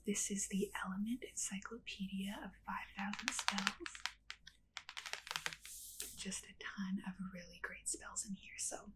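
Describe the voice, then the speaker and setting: Soft whispering woman, close to the mic. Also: medium pitch, level tone, a little slow, low-quality recording. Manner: soft unvoiced whisper, gentle and reassuring, frequent "um". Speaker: ASMR roleplay host as a witch reader. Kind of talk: whispered ASMR roleplay.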